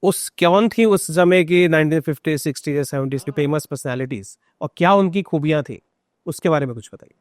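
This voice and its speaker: A man's voice: a little high, brisk, no echo. A man with an Indian accent hosts a podcast, asking questions in a conversational interviewing style.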